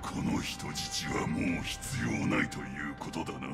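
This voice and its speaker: A man's voice: extremely low pitch, fairly even intonation, unhurried, loud music behind the voice. A man playing a savage, vengeful monstrous warlord in a video game, with menacing contempt in his delivery. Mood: scornful, menacing. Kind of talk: dramatic game voice acting.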